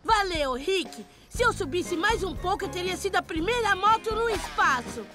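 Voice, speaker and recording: High, showy boy motorcycle. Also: lively intonation, moderate pace, over music. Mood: relieved, playful. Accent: Brazilian. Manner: boastful, playful. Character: daredevil young boy motorcycle, carefree and showy. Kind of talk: animated cartoon dialogue, Brazilian Portuguese dub.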